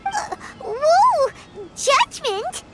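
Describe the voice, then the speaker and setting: Squeaky, excitable little fairy girl. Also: very slow, full character voice. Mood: startled. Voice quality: squeaky, childlike. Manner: exclamatory, theatrical. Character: excitable small childlike fairy girl companion. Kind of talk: video game voice acting. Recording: game music behind.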